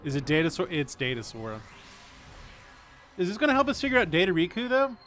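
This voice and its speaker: Slightly high-voiced man streaming, casual and wry. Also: huge pitch swings, quick pace, a bit bright. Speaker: game streamer, politics lecturer. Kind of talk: casual livestream commentary. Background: game music.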